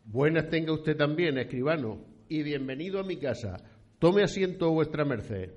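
An actor playing a pious, stately eighteenth-century Spanish landowner in a radio play, speaking in a solemn, formal and courteous old-fashioned way. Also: male voice, a little high, expressive, moderate pace, darkish tone. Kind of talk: scripted historical radio play. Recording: dull, muffled lo-fi recording.

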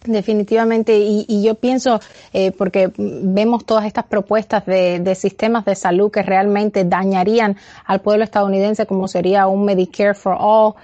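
A woman's voice: medium pitch, level tone, normal speed, dark tone, dull audio. A woman from Cuba, an interview guest speaking earnestly and persuasively, with many hesitations and restarts. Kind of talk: political interview. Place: remote, in the United States.

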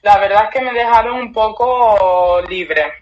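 A woman's voice: mid-range voice, unhurried. Chatty young woman on a muffled line. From Cuba, raised in Spain. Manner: chatty, laughs often. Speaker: guest volleyball player. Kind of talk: casual interview answers. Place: remote call from abroad. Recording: dull lo-fi remote line.

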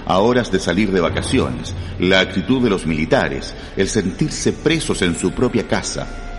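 A Chilean man with a very low voice narrates a crime radio drama. His delivery is solemn and ominous, in heavy reverb over soft music. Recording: long reverb, music underneath.

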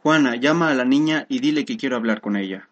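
A woman acts a practical, bossy father in a radio soap. The voice is low for a woman and matter-of-fact, used to giving orders. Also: fast talker, lo-fi sound, soft background music. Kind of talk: Spanish-language radio soap acting.

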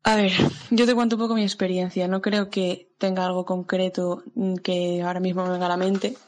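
Female guest, rather low and flat, casual hesitant storytelling. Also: fairly quick, slight background noise. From Spain. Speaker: guest giving a recorded testimony. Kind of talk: casual personal anecdote.

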